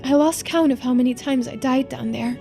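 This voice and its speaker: A woman's voice: high voice, fairly quick, creaky, music in the background. A woman voicing a girlish, earnest and resigned immortal character in a visual novel. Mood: distressed recollection.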